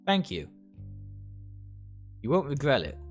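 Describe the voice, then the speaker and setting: A man's voice: mid-range voice, huge pitch swings, takes it slowly. A man voicing a friendly, insistent young woman in a visual novel, earnest and coaxing. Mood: pleading. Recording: music behind the voice.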